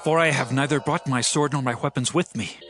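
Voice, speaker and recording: A man's voice: mid-range voice, a little fast, over music, close to an everyday voice. A man playing an earnest young fugitive warrior in a dramatized scripture reading, speaking persuasively and insistently in archaic English.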